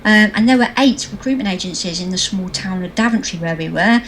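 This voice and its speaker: A woman's voice: mid-range voice, a little fast, slight background noise, low-quality recording. A woman, a guest on an interview, telling her business story in a bubbly, anecdotal way and laughing easily. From England. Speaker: guest, social media trainer.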